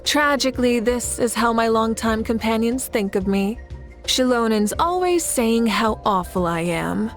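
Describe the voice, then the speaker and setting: An actress playing a confident, composed heroic leader with a playful side in a video game, speaking in an assured, wry manner. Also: female voice, on the high side, animated, unhurried. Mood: wry, fond. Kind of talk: video game character dialogue. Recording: music behind the voice.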